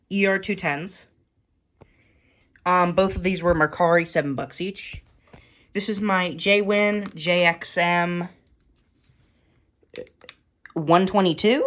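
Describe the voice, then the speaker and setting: A woman giving a casual, matter-of-fact show-and-tell of a hobby collection in short, clipped phrases, recorded indoors at home. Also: lowish voice.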